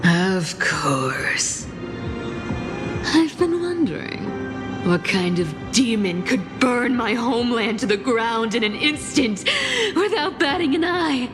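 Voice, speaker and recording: A proud, bitter rebel woman in a game drama. She talks slowly and tauntingly at a middle pitch, in a long reverb with music underneath. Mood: bitter scorn. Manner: deliberate, taunting. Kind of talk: dramatic video game acting.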